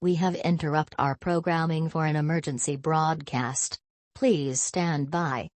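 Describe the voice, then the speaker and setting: A synthetic woman's voice reading scripted emergency broadcast announcements in a stiff, impersonal, measured way. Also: low voice, fairly even intonation, no room sound.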